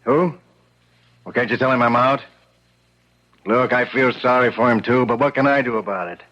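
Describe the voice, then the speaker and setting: An actor playing a weary, evasive police lieutenant who talks in a brusque, offhand way, in a 1970s radio drama. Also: male voice, mid-range voice, normal speed, a bit bright, natural voice. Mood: irritated. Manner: brusque, offhand, with many uhs. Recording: dull lo-fi 1970s radio recording.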